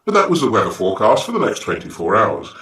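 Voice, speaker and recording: An actor playing a pompous, grand-sounding disc jockey on air in a comedy radio play. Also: male voice, on the low side, wildly swooping pitch, fast talker, sharp tone, exaggerated voice. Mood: grandly ominous. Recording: very echoey, distant from the mic.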